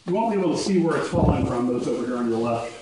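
A man's voice: medium pitch, lively intonation, very fast. A man leading a cave tour, chatty and joking as he gives history and safety warnings. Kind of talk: guided tour talk. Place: inside a large echoing cave. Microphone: camera carried by a visitor, guide off to the side. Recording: echoey cave, distant voice, noisy.

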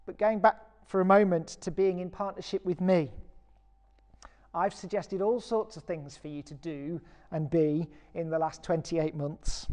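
A British woman vicar giving a recorded sermon in a measured, earnest and encouraging way. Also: fairly low pitch, dark tone. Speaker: parish vicar preaching.

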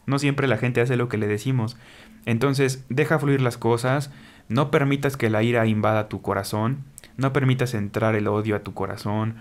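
A man's voice: medium pitch, steady tone, normal speed. A man giving an earnest tarot reading, repeating his advice and pleading directly with his viewers. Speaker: tarot reader. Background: soft background music.

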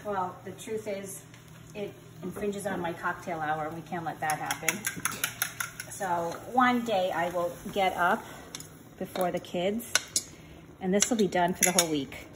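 A woman's voice: fairly low pitch, a little slow. Chatty woman cooking in a sizzling kitchen. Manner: chatty, joking, self-teasing. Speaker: home cook vlogger. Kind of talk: casual cooking demo. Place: home kitchen. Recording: noisy kitchen with sizzling pan.

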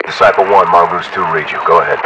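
Casting: man as calm, low-pitched soldier, clipped radio procedure, heavy static and noise. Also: fast talker, muffled recording. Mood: businesslike. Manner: procedural, terse, uses call signs. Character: calm male soldier on military radio net. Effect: military radio filter. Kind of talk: clipped military radio chatter. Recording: noisy, distant military radio transmission.

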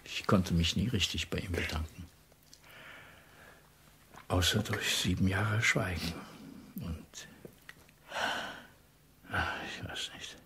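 An actor playing a weary, conscience-torn middle-aged spy in a radio play, with a husky, breathy voice. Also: male voice, low-pitched, takes it slowly, long pauses, noisy background. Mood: weary, subdued. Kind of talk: intimate German radio play acting.

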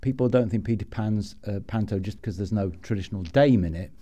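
Male interviewer, lowish pitch, soft mellow tone, casual podcast chat. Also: brisk.

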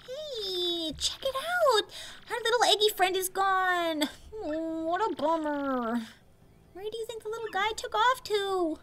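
Lady doing a super high bright perky mushroom girl sidekick, excitable, video game dub. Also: unhurried, full character voice. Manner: slangy, excitable. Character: perky, chatty young female mushroom creature sidekick.

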